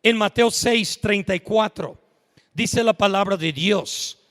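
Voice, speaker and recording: A preacher with a high, bright voice delivers an emphatic sermon, swinging from hushed lines up to full shouts. The sound rings out with the long reverb of a church sanctuary. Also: male voice, slow. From United States. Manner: emphatic, rising to shouts at peaks.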